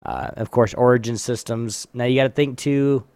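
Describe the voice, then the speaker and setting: Man, game host, low-to-middling pitch, dry recording, informal slangy chat, halting phrasing. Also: fairly even intonation, darkish tone, no room sound. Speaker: host of a trivia game on a live show. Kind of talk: casual chat, reading trivia aloud.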